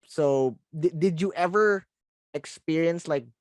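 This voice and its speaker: A podcast host from the Philippines, a Filipino speaker talking casually in English, animated and quick to laugh, often restarting words. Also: male voice, fairly high pitch, no echo, clean studio sound. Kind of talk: casual interview chat.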